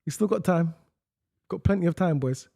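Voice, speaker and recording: A man co-hosting a live football watch-along, reacting in quick, animated bursts. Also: sits high, vocal fry. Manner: animated, quick exclamations and running commentary. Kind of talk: excitable live match reaction.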